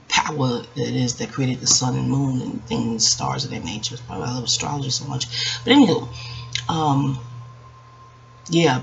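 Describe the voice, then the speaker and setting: A woman in her forties talking to her viewers in a hushed, rambling, reflective way, hesitating and digressing as she goes. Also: extremely low pitch, creaky. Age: 40s. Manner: hushed, keeping voice down so as not to wake others. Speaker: video channel host. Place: at home, quiet dark room. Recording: dull lo-fi audio with faint background noise.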